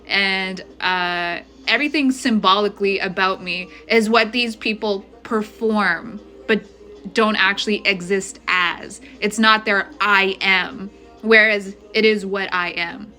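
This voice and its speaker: A woman vlogger speaks to camera with a bright, forceful voice, pressing her points with indignant insistence. She keeps checking in with the listener as she goes. Faint music sits low behind her. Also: steady tone, slow. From Ethiopia. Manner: emphatic, indignant and insistent, often checking in with the viewer. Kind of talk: direct-to-camera monologue.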